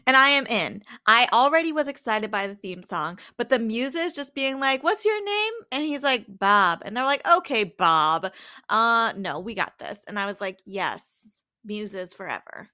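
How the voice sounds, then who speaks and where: A woman with a slightly high, very bright voice co-hosts a casual recap podcast. She is enthusiastic and slips into dramatized quotes as she chats. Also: lively intonation, unhurried. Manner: enthusiastic, quoting characters in a dramatized way. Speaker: podcast co-host. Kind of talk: casual, chatty episode recap.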